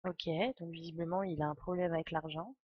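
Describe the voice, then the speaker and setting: A woman's voice: deep voice, fairly even intonation, a little fast, muffled recording, a crowd in the background. A woman with a cold, thinking aloud as she gives casual live game commentary with chatty asides to viewers. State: has a cold. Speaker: game streamer. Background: faint game music.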